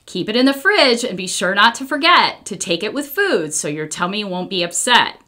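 A woman's voice: mid-range voice, sing-song, normal speed, pushed and bright. An American woman voicing a cheerful, caring pharmacist in a children's storybook, upbeat and instructive.

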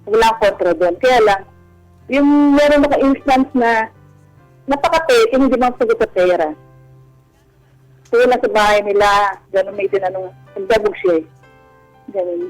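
A woman calling a radio advice show, telling a personal story casually in halting, fragmented phrases. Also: fairly high pitch, darkish tone, no room sound, rough recording. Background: faint music.

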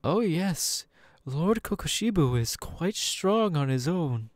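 A suave male demon, speaks with slow, swooping intonation. He is genteel and smug, full of false sympathy and theatrical pauses. The recording is dry, in an ASMR audio roleplay style. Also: on the high side, animated, no echo. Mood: smooth, curious.

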